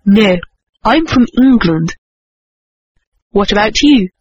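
A woman reading a scripted English lesson slowly and carefully. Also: medium pitch, animated, a little mellow, breathy edge, dry recording, lo-fi sound. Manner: slow, careful, deliberate enunciation. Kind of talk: slow scripted language-lesson dialogue and reading.

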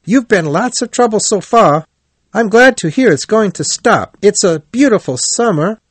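Storybook rat, played by a male reader: male, high-pitched, brisk and practical, with lively swings in pitch. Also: no room sound. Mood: cheerful, brisk. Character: cheerful, brisk, concerned male water rat. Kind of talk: animal storybook character voice.

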